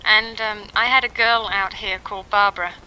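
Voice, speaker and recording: Bright-voiced woman on noisy old tape. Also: mid-range voice, steady tone. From England. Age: 30s. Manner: informal, hesitant, pausing often with ums. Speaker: mother recording an audio letter to family. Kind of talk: casual chatty monologue. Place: at home, in a quiet house. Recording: noisy 1960s home reel-to-reel tape.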